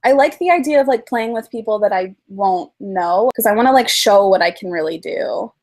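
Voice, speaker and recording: A woman in her twenties sounds upbeat and casual, sprinkling fillers through her talk. Her pitch sits in a middle range. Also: normal speed, a little mellow. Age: 20s.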